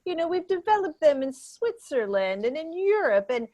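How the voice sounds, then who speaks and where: A woman playing a condescending, pompous academic expert in an improvised mock debate, halting and emphatic. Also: high-pitched, sing-song, dark tone, lo-fi sound.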